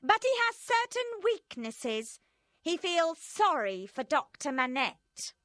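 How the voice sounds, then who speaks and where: A woman voicing a cold, vengeful female revolutionary in an audiobook, speaking slowly and emphatically. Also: extremely high pitch, sing-song, a bit bright, no echo, clean studio sound, voice pushed up, full character voice. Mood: ominous.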